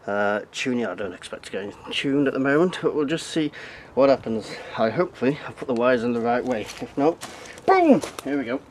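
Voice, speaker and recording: A man's voice: medium pitch, sing-song, fairly quick, few pauses, darkish tone. Man narrating a hands-on demo, background noise. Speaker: video host. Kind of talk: casual hands-on demonstration vlog. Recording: noisy amateur camera audio.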